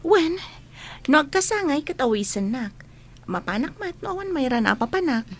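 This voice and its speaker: Very high, animated young Ilocano friend played by a woman. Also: moderate pace. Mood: frank. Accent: Ilocano Filipino. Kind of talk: radio drama acting.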